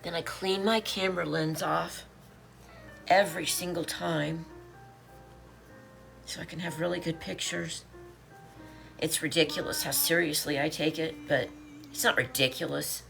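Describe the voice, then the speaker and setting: A woman in her late fifties talks through a casual live stream from home, greeting viewers one by one as she lists items for sale. Her voice sits low with a gravelly edge. Soft music plays faintly behind her. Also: fairly even intonation, unhurried, long pauses. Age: 50s. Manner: chatty, greets viewers by name, talks while listing items. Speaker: online seller live-streaming.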